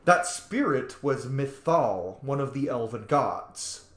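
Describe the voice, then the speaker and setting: A man's voice: medium pitch, animated, takes it slowly, a bit bright, reverberant. A man giving casual, sweary running commentary as he streams a roleplay video game. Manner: informal, sweary.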